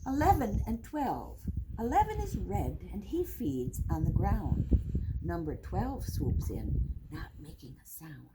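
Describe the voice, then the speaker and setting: Older woman reading aloud, lively pitch. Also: unhurried. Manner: simple explanatory asides, counting aloud. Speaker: teacher reading to young children. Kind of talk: children's picture book read-aloud with explanations. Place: indoor classroom with wooden furniture. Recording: noisy room recording with clicks.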